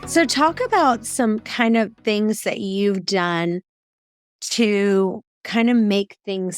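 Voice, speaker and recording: A woman's voice: medium pitch, dry recording, clean studio sound. A woman interviewing as a podcast host, informal and conversational, rephrasing her questions as she goes.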